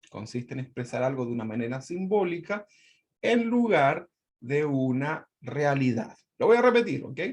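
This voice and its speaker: A man's voice: fairly high pitch, darkish tone. A man with a Chilean accent teaching an online Bible class. He repeats his points and keeps checking in with "¿verdad?". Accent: Chilean Spanish. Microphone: video call.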